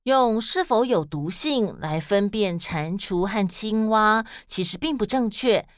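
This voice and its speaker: A woman with a Taiwanese Mandarin accent narrating and explaining in a scripted, expressive style for children. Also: mid-range voice, unhurried, no echo, clean studio sound. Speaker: children's story podcast host and narrator.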